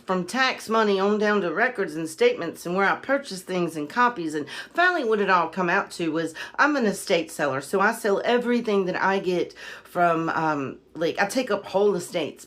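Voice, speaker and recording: A woman's voice: on the low side, a bit bright. A woman from the United States giving casual vlog-style advice and storytelling as an online reseller, plain-spoken, emphatic and aggrieved. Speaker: online reseller and video creator.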